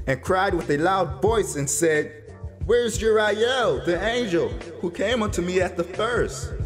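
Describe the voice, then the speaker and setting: A man playing a grieving, fearful prophet in a dramatized scripture reading, with solemn, pleading, archaic delivery. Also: on the high side. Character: grieving, fearful prophet recounting his troubled vision.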